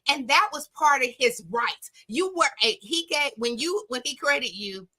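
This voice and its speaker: Very bright, high, preacherly woman. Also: expressive, measured pace. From southern United States. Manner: preacherly, emphatic, folksy. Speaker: guest motivational speaker. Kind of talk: casual faith-based motivational talk. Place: radio studio.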